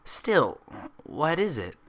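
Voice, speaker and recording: Earnest, fretful female cartoon unicorn, acted by a man, easily flustered, voice raised and bright. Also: a little high, very animated, slow, voice pushed up, full character voice. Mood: curious. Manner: fretful, questioning.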